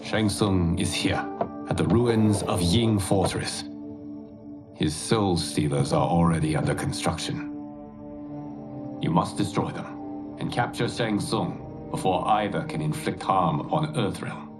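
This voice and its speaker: A stern, wise guardian god. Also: male voice, low-pitched. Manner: measured, commanding. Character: calm male protector god, stern and wise. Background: orchestral game music.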